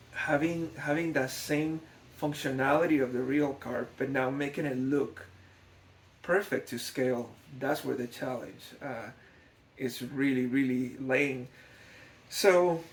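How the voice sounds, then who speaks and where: A man's voice: mid-range voice, creaky. A man from Guatemala gives an unscripted documentary-style explanation, thoughtful and hesitant, often repeating words as he goes. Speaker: scale model builder, documentary narrator. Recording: echoey, reverberant room.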